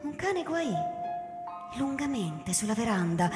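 High-voiced woman narrating in deep echo. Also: very animated, takes it slowly. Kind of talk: dramatic literary narration for radio theatre. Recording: echoey hall reverb, music underneath. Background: music.

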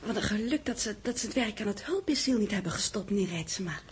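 A woman acting an earnest, anxious secretary in a 1960s Dutch radio play, speaking hesitantly in a breathy, husky voice. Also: mid-range voice, lively intonation, fast, slight background noise, close to an everyday voice. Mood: anxious wondering. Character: anxious, wondering female secretary, deferential and earnest.

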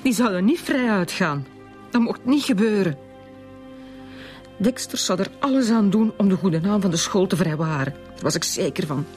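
An actress playing a bitter, protective schoolteacher of forty-three in a Flemish radio drama, speaking with halting emotion. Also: female voice, mid-range voice, expressive, darkish tone, faint music behind, close to an everyday voice. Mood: resentful, resolute. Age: forties. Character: bitter, protective 43-year-old schoolteacher confessing to killing. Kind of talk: naturalistic radio drama acting.

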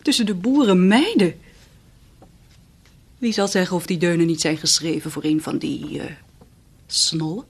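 An actress playing a proud, sharp-tongued young lady in a radio play, with a breathy voice and a cool, probing manner. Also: fairly low pitch, a little slow, long pauses, exaggerated voice. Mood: scornful. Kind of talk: 1970s radio play acting. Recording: radio play with faint music.

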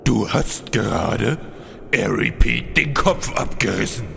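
An actor playing a stern, exasperated instructor giving clipped orders in a comedy fantasy audio play. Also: male voice, medium pitch, expressive, takes it slowly, creaky, over music, exaggerated voice.

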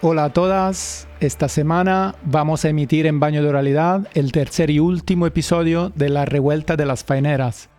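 Mellow Spanish male radio presenter. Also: fairly high pitch. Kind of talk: programme introduction. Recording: music behind the voice.

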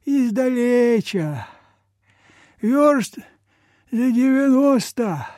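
An actor playing a frail, humble old peasant beggar in a Soviet radio play, halting, deferential and folksy. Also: male voice, very high voice, expressive, warm tone, no room sound, studio quality, heavily acted. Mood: weak, pleading. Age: older.

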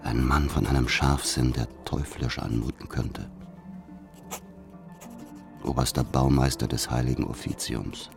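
An actor playing a grave, secretive spy reading out his diary in a radio drama, measured and ominous. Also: rumbling low, steady tone, gravelly, heavily acted. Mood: brooding, ominous. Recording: music under the voice.

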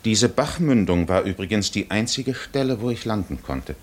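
An actor playing a weary, worldly wandering castaway hero, middle-aged and gently teasing, with measured, musing delivery in a 1950s German radio drama. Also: male voice, lowish voice, lively intonation. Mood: measured, curious.

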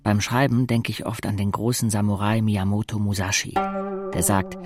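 An actor playing an introspective, wry teenage boy who narrates his own life in a measured, literary audio drama style. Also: on the low side, close to an everyday voice. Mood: reflective. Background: music.

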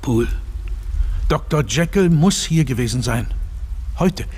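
A man acting in a radio drama as a grave, determined gentleman, earnest and commanding. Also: medium pitch, animated, measured pace, slightly rough. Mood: uneasy. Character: grave, determined gentleman, loyal friend of the doctor.

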